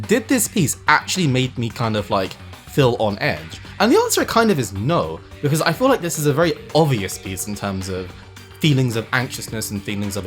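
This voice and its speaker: Video essayist: lively intonation, emphatic conversational delivery with casual asides, music bed behind the voice. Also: mid-range voice. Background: music under the voice.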